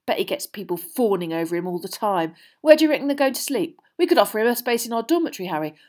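A woman voicing an excitable, starstruck teenage schoolboy in an audiobook, with emphatic, exclaiming delivery. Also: fairly low pitch, brisk, natural voice. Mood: eager, gossipy. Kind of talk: acted dialogue in an audiobook reading.

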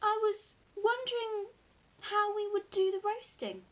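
Woman doing a little boy in a story, high voice, wondering and always asking stuff. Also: fairly even intonation, unhurried, fairly bright tone. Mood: curious, doubtful. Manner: wondering, questioning. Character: young boy Danny, curious, questioning storybook son. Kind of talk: acted audiobook dialogue.

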